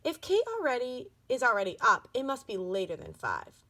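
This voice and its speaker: A woman reading a children's book aloud as an expressive storybook narrator. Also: on the high side, normal speed.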